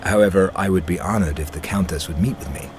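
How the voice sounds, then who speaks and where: A man voices a menacing inquisitor with a very low voice. He is cold, measured and commanding, as in a dark video game, with music clearly playing behind him. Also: natural voice. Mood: measured, serious. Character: stern, menacing male inquisitor on a case.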